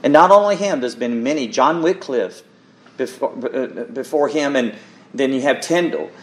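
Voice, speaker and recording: A man's voice: medium pitch, lively intonation, normal speed. A man preaching a sermon and reading scripture in an earnest, emphatic manner, repeating words for weight and checking in with his listeners.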